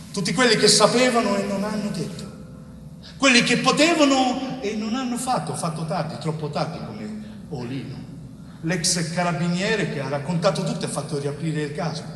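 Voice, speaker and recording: A man's voice: a little high, fairly bright tone, slight background noise. An actor playing a wronged innocent man in middle age in an intense stage monologue, bitter and weary, repeating phrases like an incantation. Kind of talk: dramatic theatre monologue. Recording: echoey theatre hall, distant mic, music.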